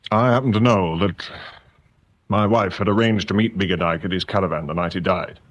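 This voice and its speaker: An actor playing a prickly, sardonic local chief inspector in a radio drama, with a halting, sarcastic way of talking. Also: male voice, fairly low pitch, natural voice. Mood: troubled, confiding. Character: sardonic, troubled local police chief inspector, married man.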